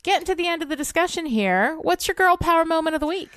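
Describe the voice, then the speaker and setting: A woman's voice: very high-pitched, lively intonation, fast talker, a bit bright, no room sound, studio quality. A woman chatting casually and emphatically as a podcast co-host, informal and agreeable. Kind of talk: casual chat about a TV show.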